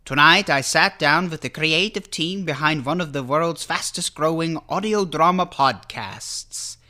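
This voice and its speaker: A man's voice: on the high side, unhurried, sharp tone. A man voicing a campy vampire count who hosts a horror show and interviews in a grandiose, formal manner. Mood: grandly welcoming. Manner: theatrical, grandiose, formal wording. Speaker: interviewer and host. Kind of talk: theatrical horror-host introduction and interview.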